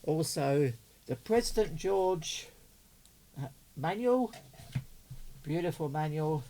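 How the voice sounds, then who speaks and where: A man's voice: on the high side, expressive, slow, dark tone, slight background noise. An enthusiastic, chatty man, giving casual unboxing commentary as a hobbyist video host.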